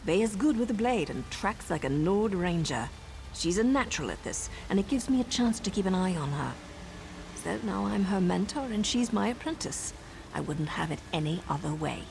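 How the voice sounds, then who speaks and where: A woman's voice: mid-range voice, animated, moderate pace, gravelly, noisy background. An actress voicing a wry, teasing and self-assured female assassin in a fantasy video game. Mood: earnest, protective. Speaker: assassin and mentor. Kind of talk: video game character dialogue.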